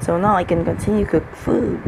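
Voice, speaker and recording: A man from the Philippines with a Filipino accent, a non-native English speaker, giving casual let's-play commentary in a halting way, with many fillers and restarts. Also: high-pitched, steady tone, creaky, heavy background noise. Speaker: gaming channel host.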